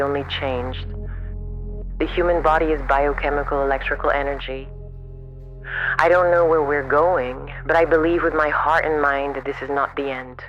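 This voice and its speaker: An actor playing a devout, unafraid nurse and field correspondent, speaking earnestly and plainly over a radio transmission. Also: medium pitch. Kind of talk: radio drama farewell transmission. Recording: muffled band-limited radio, music underneath.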